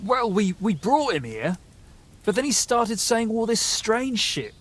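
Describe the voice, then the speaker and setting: A man's voice: sits high, big pitch swings, a little slow, fairly bright tone, heavily acted. A man playing a jittery, defensive young rogue archer in a medieval video game, talking in colloquial bluster. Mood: spooked, shaken. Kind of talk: video game dialogue acting.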